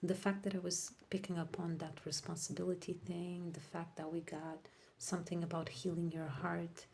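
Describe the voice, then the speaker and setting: Gravelly low-voiced woman reading tarot. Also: slight background noise. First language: Portuguese. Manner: drifts into soft whispers while laying cards; rambling, self-correcting. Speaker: tarot reader. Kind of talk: casual tarot reading to viewers.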